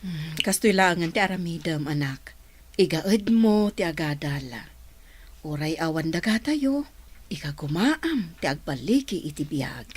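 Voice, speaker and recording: A woman's voice: low voice, lively intonation, measured pace, breathy edge, heavily acted. A woman playing a patient, hard-up village mother in an Ilocano radio drama, speaking with a Filipino accent. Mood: gentle, encouraging.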